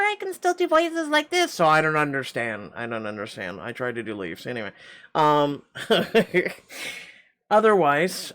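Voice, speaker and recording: A man's voice: on the high side, a bit bright. A middle-aged man with a slightly tight throat, reading a story game aloud and rambling with wry asides and many fillers. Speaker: game channel host.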